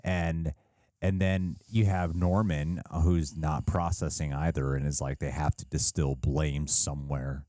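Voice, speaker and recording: A man's voice: a bass voice, fairly even intonation, unhurried, dark tone, no echo. A man co-hosting a reaction show, talking casually in a rambling, self-deprecating way with some swearing. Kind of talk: casual reaction commentary and discussion.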